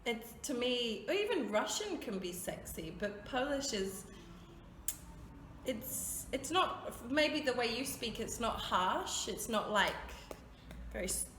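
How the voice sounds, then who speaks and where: A woman's voice: on the high side, sing-song, slow, fairly bright tone, a little hiss or hum. Chatty English woman. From England. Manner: chatty, searching for words. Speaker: interviewee. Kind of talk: casual street interview answers. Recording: echoey room with faint music.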